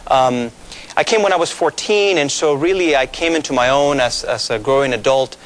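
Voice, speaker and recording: A man in his thirties from Mexico, a pastor with Spanish as his first language, explaining things in a measured way with many fillers during a TV studio interview. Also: medium pitch, fairly bright tone, rough recording. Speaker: pastor, guest.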